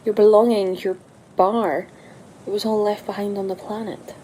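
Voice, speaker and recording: A woman's voice: medium pitch, flat delivery, normal speed, warm tone, slight background noise, natural voice, low-quality recording. A woman playing a curious, earnest companion to a time traveller in an audio drama. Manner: questioning, earnest. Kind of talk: scripted audio drama acting.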